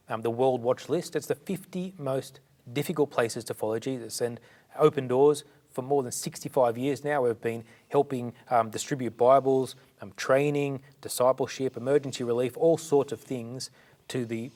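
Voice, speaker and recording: A middle-aged man with an Australian accent answers interview questions. His voice sits in a middle pitch, and he speaks earnestly and fluently, now and then restarting a phrase. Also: a little mellow. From born in India, raised in Sydney. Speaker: charity director, guest.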